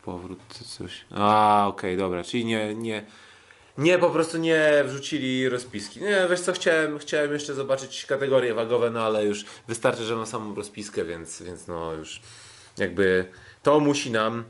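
A man with a mid-pitched voice chats loosely as a sports podcast co-host. He talks in a halting way, doubling back and repeating words as he thinks aloud. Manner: hesitant, halting, repeats himself. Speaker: podcast co-host and kickboxing commentator. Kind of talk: casual unscripted sports chat.

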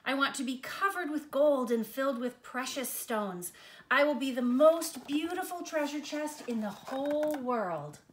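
An earnest little storybook tree, voiced by a woman, full of hope and ambition. The voice is high and proud, making dreamy declarations. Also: lively intonation, measured pace, a little hiss or hum. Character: hopeful, ambitious little trees, young and earnest. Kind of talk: storybook character reading.

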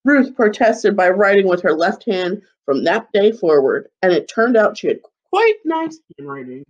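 A woman in her thirties reading a children's picture book aloud like a schoolteacher, with deliberate phrasing. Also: medium pitch, big pitch swings, dull audio. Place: living room at home, seated on a couch. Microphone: webcam or laptop mic at about arm's length.

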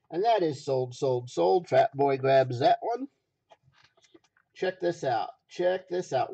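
Man, livestream auctioneer, chatty repeated sales patter, slightly high pitch, gravelly and a bit hoarse. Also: warm tone, lo-fi sound, a little hiss or hum. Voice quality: rough, raw, gravelly.

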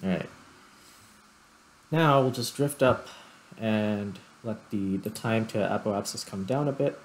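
A man giving casual gameplay commentary, thinking aloud in short, unhurried phrases. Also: fairly low pitch, slight background noise, rough recording. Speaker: gaming YouTuber, player commentating.

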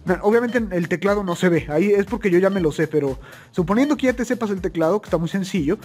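A man's voice: sits high, lively intonation, fast, a little mellow, soft background music. A young man speaking in a casual, chatty, folksy way as he hosts a product-test vlog. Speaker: video host. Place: plain indoor room, also outdoors at night.

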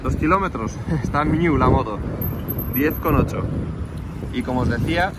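A man's voice: mid-range voice, moderate pace. A man from Spain with a Spanish accent, giving an informal, explanatory walkthrough as a workshop host, with chatty asides. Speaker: motorcycle workshop builder and channel host. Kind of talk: casual hands-on vlog walkthrough. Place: outdoors in a paved car park. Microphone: handheld phone held by the speaker. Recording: clear outdoor street and vehicle noise.

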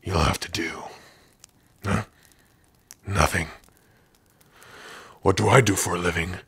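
A man acts out a huge male orc captive in a fantasy roleplay. The voice is very low and heavily rasped, with a slow, guarded delivery. He sounds gruff and sardonic, speaking intimately to one listener. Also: steady tone, fairly bright tone, dry recording, heavily acted. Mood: warming, gruff. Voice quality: husky, rough, breathy. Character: big gruff male orc prisoner, guarded but lonely. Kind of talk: ASMR roleplay addressed to the listener.

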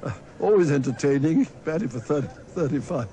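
A man's voice: on the high side, animated, fairly quick, dark tone. A British man giving a live cricket summary on the radio. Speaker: cricket commentator. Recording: noisy old radio broadcast.

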